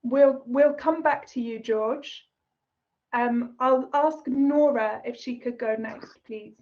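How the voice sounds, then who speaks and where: A woman with a high, bright-pitched voice chairs an online meeting, calling on speakers one by one in a courteous way. Her voice comes through a video call and sounds a little muffled and dull. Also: takes it slowly. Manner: polite, inviting speakers in turn. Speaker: session moderator.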